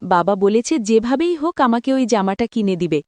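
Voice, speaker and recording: A woman's voice: a little high, animated, moderate pace, darkish tone, no echo, close to an everyday voice. A woman playing an eager, hopeful young daughter of a poor family in a cartoon, earnest and pleading. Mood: dreamy, insistent. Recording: background music under the voice.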